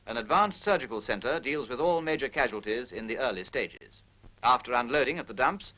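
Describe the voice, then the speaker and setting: A man's voice: mid-range voice, pushed and bright, low-quality recording. A British man reading formal scripted narration in the manner of a 1940s military training film, precise, instructional and impersonal.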